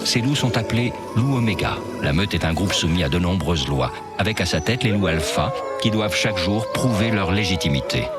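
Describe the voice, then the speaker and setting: A man narrating a scripted nature segment for children as a documentary narrator. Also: low-pitched. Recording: loud music behind the voice.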